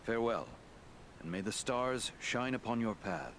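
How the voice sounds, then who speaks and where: A man playing a wise, courteous lord who counsels heroes in a fantasy game, measured, formal and grave. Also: mid-range voice, sing-song, fairly bright tone, a little hiss or hum. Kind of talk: dramatic fantasy game acting.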